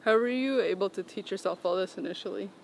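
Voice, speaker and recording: A woman's voice: mid-range voice, quick pace, creaky, darkish tone. Young woman interviewing outdoors, street noise behind. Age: young adult. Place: outdoors, walking along a city sidewalk.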